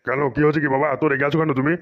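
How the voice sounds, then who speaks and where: A man's voice: fairly high pitch, level tone, very fast, dull audio, close to an everyday voice. A man voicing a blustering, foul-mouthed older village father in a comedic cartoon. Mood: defensive.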